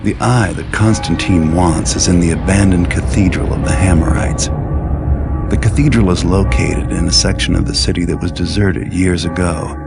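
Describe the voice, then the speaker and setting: An actor playing a cynical, world-weary professional thief in a video game, narrating in a dry, deadpan, measured way. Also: male voice, low-pitched, vocal fry, over loud music. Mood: wry, brooding. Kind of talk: scripted game briefing narration.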